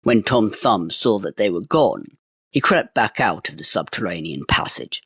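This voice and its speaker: A man's voice: fairly low pitch, very animated, moderate pace, fairly bright tone, slightly rough, no room sound, clean studio sound. A man reading a fairy tale aloud in a dramatic, old-fashioned storytelling manner.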